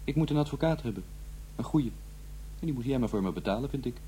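A man's voice: medium pitch, animated, quick pace, dark tone, natural voice. An actor playing a cold, defiant student son in his twenties in a radio drama, speaking curtly and without feeling. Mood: cold, demanding. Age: young adult. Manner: curt, blunt, emotionless. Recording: faint noise and hum.

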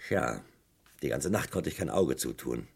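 An actor playing a dogged, insistent British police detective in a German radio crime drama, theatrical and emphatic, with a gravelly voice. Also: male voice, extremely low pitch, lively intonation, normal speed, exaggerated voice. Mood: brooding satisfaction.

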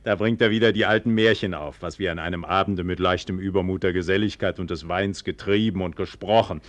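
Guy acting a confident carefree nobleman in an old 1940s radio play, lowish voice, grand stagey delivery. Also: steady tone, brisk, no room sound, lightly acted. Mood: light, carefree. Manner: declamatory, self-assured. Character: proud, carefree, confident nobleman of high rank.

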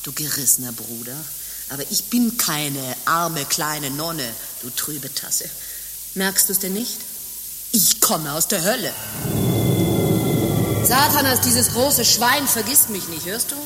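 An actress playing a bitter, world-weary former harlot turned nun in a radio play, with theatrical speech both ornate and coarse. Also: female voice, lowish voice, expressive, slow pace, bright, forceful tone, heavily acted. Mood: scornful fury. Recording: hissy recording with long reverb.

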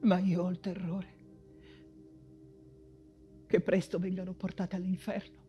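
An actress playing an anguished woman writer and mother fleeing persecution in a wartime stage monologue, theatrical and halting, with anxious repetitions. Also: fairly low pitch, level tone, warm tone, dull audio, soft background music, close to an everyday voice. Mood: fearful, sorrowful.

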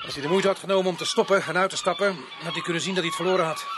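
Man acting gruff police sergeant, radio play, high male voice, dry sarcasm, clearly noisy recording. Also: steady tone, very fast, close to an everyday voice. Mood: sardonic, brisk. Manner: dry, sardonic, hesitating with small chuckles. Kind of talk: Dutch radio drama acting.

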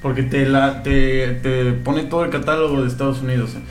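A man's voice: mid-range voice, steady tone, brisk, reverberant, slight background noise. A man with a Mexican accent co-hosting a podcast, joking around in casual chat and reading out listener comments. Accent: Mexican Spanish. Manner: laid-back, joking, reads out listener comments. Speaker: podcast co-host.

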